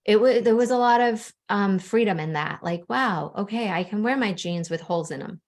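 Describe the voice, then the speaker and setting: A woman's voice: medium pitch, normal speed. A young adult woman from the Bahamas, a spiritual coach, speaking openly and earnestly in an interview, with frequent "like" fillers. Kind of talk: candid interview conversation.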